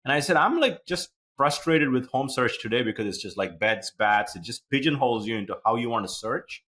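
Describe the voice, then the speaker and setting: Bright-voiced chatty podcast host, a man. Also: medium pitch, expressive, brisk. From India. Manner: chatty, rambling, many fillers. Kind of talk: podcast interview hosting.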